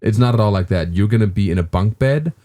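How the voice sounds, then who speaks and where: A man's voice: fairly low pitch, fast, dark tone. A man in his thirties with a German accent, a guest comedian chatting on a podcast and telling rambling stories full of fillers and restarts. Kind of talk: casual podcast chat.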